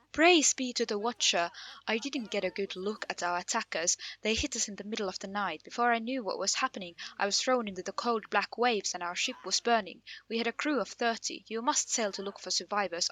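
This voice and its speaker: A woman's voice: medium pitch, brisk, fairly bright tone, close to an everyday voice. A woman voicing a frantic male shipwreck survivor, pleading urgently in a game read-aloud. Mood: desperate, relieved.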